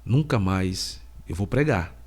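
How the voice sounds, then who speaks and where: A middle-aged man, a podcast host and preacher, giving a slow, halting personal faith testimony while he has a cold. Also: low-pitched, expressive, a little mellow. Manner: slow, halting, heavy pauses.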